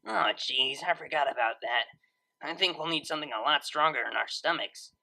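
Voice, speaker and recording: A woman's voice: deep voice, normal speed, vocal fry, pushed and bright, full character voice. A woman voicing a brash, playful young female unicorn DJ who talks in a casual, slangy, teasing way. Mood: laid-back. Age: young adult.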